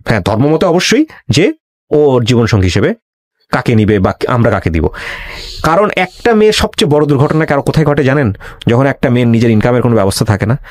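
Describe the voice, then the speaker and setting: A Bangladeshi man hosts a talk show in a lively, swooping voice with a dry, close sound. He speaks quickly and emphatically, advising earnestly, repeating phrases for weight and slipping in English words. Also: medium pitch, no echo. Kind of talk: earnest advisory monologue and interview.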